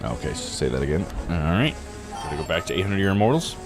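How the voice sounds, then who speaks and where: A man's voice: low-pitched, sing-song, fast, over music. A man streaming a visual novel, reading it aloud in a casual live manner. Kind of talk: live game read-through, voicing characters.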